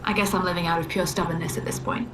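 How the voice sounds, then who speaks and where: An actress playing a despairing but stubborn bookkeeper recording a wartime audio journal in a video game, subdued, bitter and resigned. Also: female voice, lowish voice, fairly even intonation, quick pace, recorded from a distance, background noise, close to an everyday voice. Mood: resigned, grieving.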